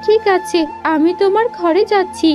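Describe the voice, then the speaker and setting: A woman's voice: very high-pitched, normal speed. A woman voicing an eager, girlish and whiny younger sister in a cartoon fairy tale. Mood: thirsty, searching. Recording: background music under the voice.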